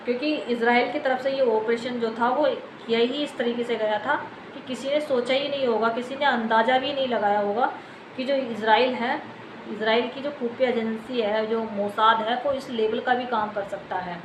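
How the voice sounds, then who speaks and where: A woman's voice: a little high, steady tone, moderate pace. A woman with an Indian accent giving unscripted news commentary, explaining things to viewers in a wordy, repetitive way. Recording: echoey room, clear background noise.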